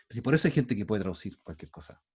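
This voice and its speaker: A man's voice: fairly low pitch, big pitch swings, fast talker, a little mellow, husky. A middle-aged man with a Chilean accent teaching a university class over a video call, talking in a loose, conversational way with frequent restarts. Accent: Chilean Spanish. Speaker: university lecturer. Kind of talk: online class lecture.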